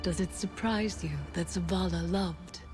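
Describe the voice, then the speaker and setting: Game narrator role for a woman: solemn secret-keeper, deliberate and tender, slow storybook phrasing over a score. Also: lowish voice, steady tone, music in the background, lightly acted. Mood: solemn, wistful. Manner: hushed, poetic, deliberate storytelling. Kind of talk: scripted game cutscene narration.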